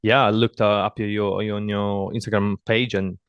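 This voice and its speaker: A man from southern Italy with an Italian accent, hosting a casual interview in halting, repetitive English phrases. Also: lowish voice, flat delivery, clean tone, dry recording, highs cut off. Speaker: podcast host.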